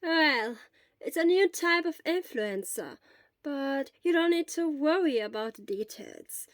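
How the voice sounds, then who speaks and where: Woman acting elderly female school nurse, very high voice, kindly, brisk, careful delivery, storybook character. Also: lively intonation, no room sound, studio quality. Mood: matter-of-fact. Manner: slow, deliberate questioning.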